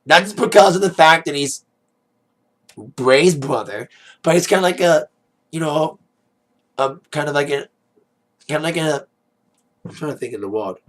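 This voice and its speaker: Hoarse man rambling through a review. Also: a little high, lively intonation, normal speed, pauses often. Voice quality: raspy. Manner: rambling, heavy on "like", "uh" and "you know". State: hoarse, voice fading after a DJ gig. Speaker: wrestling pay-per-view reviewer. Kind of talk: casual spoken review.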